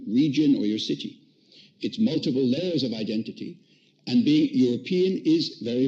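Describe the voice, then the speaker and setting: A man's voice: medium pitch, darkish tone. A man from Dublin with an Irish accent, speaking earnestly with many restarts and fillers. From Dublin, Ireland. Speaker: conference speaker. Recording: muffled lo-fi sound.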